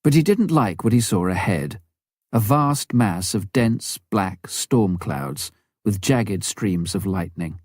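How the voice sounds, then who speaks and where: Male audiobook narrator, lowish pitch, dark relaxed tone, measured dramatic storytelling, deliberate pauses. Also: no echo, clean studio sound. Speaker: audiobook reader.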